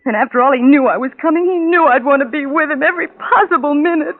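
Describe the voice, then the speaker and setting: An actress playing a desperate, lovesick young woman in a 1940s radio drama, with a halting, pleading, melodramatic delivery. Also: high-pitched. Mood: anguished. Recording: tinny band-limited old radio recording.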